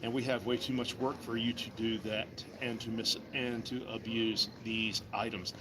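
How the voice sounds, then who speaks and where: A man's voice: on the low side, little pitch movement, moderate pace, noisy background. A man demonstrating wood carving at his table, chatting in a friendly, folksy way with passing customers. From Virginia. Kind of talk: casual demonstration chat. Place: vendor table in an indoor mall. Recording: busy mall crowd around the table. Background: crowd chatter.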